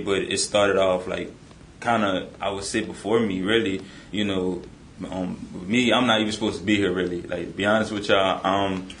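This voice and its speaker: A man's voice: sits low, echoey room, a little hiss or hum, low-quality recording. A man of twenty-two, co-hosting a casual conversation about faith and talking loosely with lots of fillers. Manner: relaxed, many "you know" fillers. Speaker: podcast co-host.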